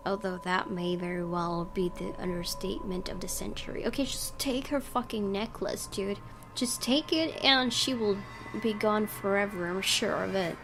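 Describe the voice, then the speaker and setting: A woman's voice: mid-range voice, moderate pace, poor audio. A woman gaming YouTuber doing a let's play, reading story text aloud expressively with casual asides. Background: game music.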